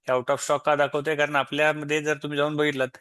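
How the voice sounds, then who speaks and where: A man with an Indian Marathi accent giving an informal, step-by-step software tutorial, explaining as he goes and mixing in English tech terms. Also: fairly high pitch, little pitch movement, quick pace, dry recording, studio quality. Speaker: software demo presenter.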